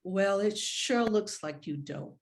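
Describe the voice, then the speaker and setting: Retired mother, mid-sixties, played by a woman: naturalistic, middle-pitched, fond but guilt-tripping, table-read drama. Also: lively intonation, slow. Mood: hurt, reproachful. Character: retired professor mother, sixty-five, guilt-tripping, warm but wounded. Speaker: actor in a script read. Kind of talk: live screenplay table read.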